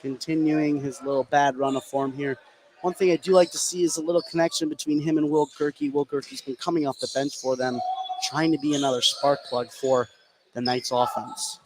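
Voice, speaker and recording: A man's voice: fairly high pitch, steady tone, normal speed. A man giving casual, observational color commentary during a live basketball broadcast. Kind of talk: live sports analysis, conversational. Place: indoor gym at a basketball game. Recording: clear gym noise behind the voice.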